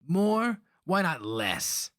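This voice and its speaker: A man's voice: high-pitched, big pitch swings, very slow, a bit bright, no room sound, studio quality, voice pushed up, heavily acted. A man voicing an angry, defiant thirteen-year-old barbarian boy in a dramatic fantasy reading, blunt and indignant.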